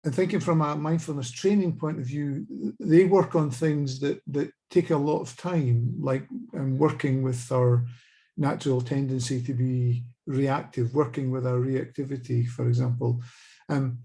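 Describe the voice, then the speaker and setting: A middle-aged Scottish man speaking on a video call, measured and thoughtful, with frequent small restarts, asking a question in a webinar discussion. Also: mid-range voice, steady tone, mellow. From Scotland.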